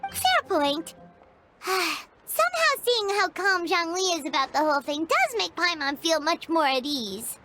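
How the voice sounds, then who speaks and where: A woman voicing a small, excitable, cheeky fairy companion in an animated game, with a squeaky childlike voice. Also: a little slow, heavily acted. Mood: skeptical. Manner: perky, refers to self in third person. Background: prominent background music.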